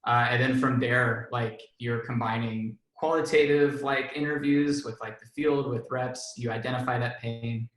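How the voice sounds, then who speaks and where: A man's voice: medium pitch, steady tone, normal speed, hardly any pauses, a bit bright, reverberant, rough recording. A man on a career panel, talking casually with lots of fillers and a self-deprecating tone. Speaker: panelist, enablement professional.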